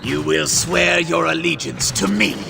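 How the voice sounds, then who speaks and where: A man voicing an arrogant, cowardly, scheming robot villain in a video game, with a gravelly, theatrical, sneering delivery. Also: on the high side, a little slow, noisy background. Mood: gloating.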